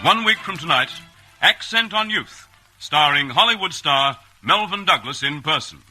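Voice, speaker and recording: A man's voice: fairly high pitch, lively intonation, normal speed, low-quality recording. A man reading scripted credits as the announcer of a mid-century radio theatre. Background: loud music.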